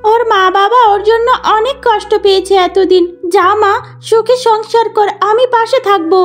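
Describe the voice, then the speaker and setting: A woman's voice: very high voice, moderate pace, voice pushed up. A woman voicing a sorrowful, repentant witch who pleads in a cartoon fairy tale. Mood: repentant, tender.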